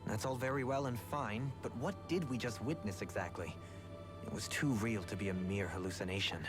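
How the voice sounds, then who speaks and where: A man acting a formal, low-voiced scholarly companion. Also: fairly quick, over music, lightly acted. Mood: skeptical. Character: learned, analytical man, formal and measured. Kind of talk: video game cutscene voice acting.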